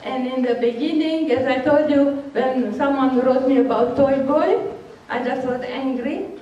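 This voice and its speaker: A woman's voice: high-pitched, unhurried, warm tone, lo-fi sound, a little hiss or hum. An older woman with a Hungarian accent, speaking frankly and laughing often as she answers workshop questions. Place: large hall with seated audience. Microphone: handheld microphone, recorded from far off. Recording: echoey hall from far off.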